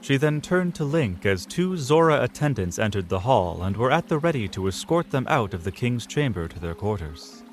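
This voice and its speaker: A man's voice: mid-range voice, sing-song, normal speed, few pauses, faint music behind. A man narrating a scripted fantasy audio drama in a dramatic storytelling style.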